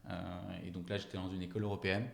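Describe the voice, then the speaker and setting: A man in his late thirties speaks in a fairly low voice with noticeable room echo. He gives casual interview answers as a company founder, hesitating and repeating words while he thinks. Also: little pitch movement, quick pace, creaky. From France and Germany. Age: late 30s. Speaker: company founder, podcast guest. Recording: roomy, echoing interview sound.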